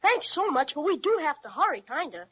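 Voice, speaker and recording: Eager young boy, very high, lively, lo-fi 1930s radio. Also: a little fast. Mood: excited. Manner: eager, excitable. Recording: dull lo-fi 1930s radio recording from off-mic.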